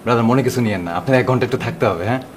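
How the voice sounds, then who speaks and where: An actor playing an eager, hospitable, unsuspecting young husband with a Bangladeshi accent and an over-polite manner. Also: male voice, mid-range voice, racing, a little hiss or hum, close to an everyday voice. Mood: apologetic. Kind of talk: TV drama acting.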